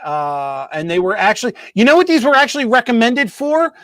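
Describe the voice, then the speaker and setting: High-voiced man rambling over his hobby bench. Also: animated, a little fast, a bit bright, no room sound, clean studio sound. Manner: rambling, self-correcting, joking. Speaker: host of a model railroad show. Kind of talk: casual live-stream chat.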